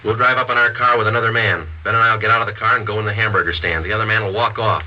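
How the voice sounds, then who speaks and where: Low, rapid, deadpan radio detective played by a man. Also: lightly acted. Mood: brisk, urgent. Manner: deadpan, matter-of-fact. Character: terse, steady male police sergeant and detective narrator. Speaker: lead actor and narrator. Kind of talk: 1950s radio police drama, clipped scripted narration. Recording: dull vintage radio recording.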